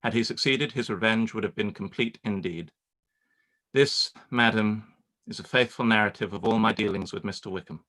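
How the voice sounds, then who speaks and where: An actor playing a proud, reserved young gentleman, speaking formally and with measured gravity in a live-read radio play. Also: on the low side, close to an everyday voice. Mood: grave, earnest. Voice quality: formal, measured, stiffly polite.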